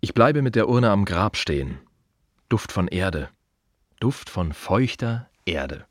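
A man playing a reflective, wry gravedigger in an intimate radio play monologue, speaking in musing fragments with a husky voice. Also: sits low, sing-song, no room sound, studio quality, full character voice. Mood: matter-of-fact, musing. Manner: musing, short fragmentary phrases with pauses. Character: reflective male gravedigger, wry, plain-spoken, quietly observant.

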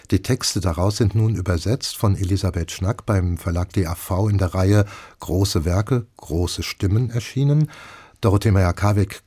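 Dark, husky male radio host. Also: lowish voice. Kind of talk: scripted radio presentation. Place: radio studio.

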